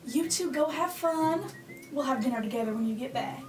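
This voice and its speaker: Radio play: woman as protective, subdued widowed mother in poor health, high register, heard at a distance from the mic. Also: fairly quick, close to an everyday voice. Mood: gently insistent. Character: ailing, resigned, protective widowed mother, 1940s America. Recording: roomy, distant mic, faint music.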